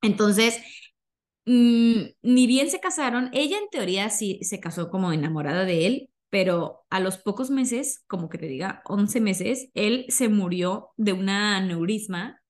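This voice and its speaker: A young Mexican woman hosts a podcast in a medium-pitched voice. She tells stories informally, as if sharing gossip with a friend, in casual conversational language. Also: animated, measured pace. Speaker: podcast host.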